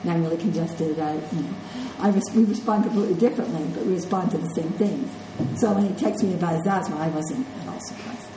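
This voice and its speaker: A woman's voice: fairly low pitch, fast, hardly any pauses, background noise. Congested woman lecturing in an echoey classroom. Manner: conversational, checks in with "okay?" and "right?". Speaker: nursing instructor. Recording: echoey classroom with faint ambience.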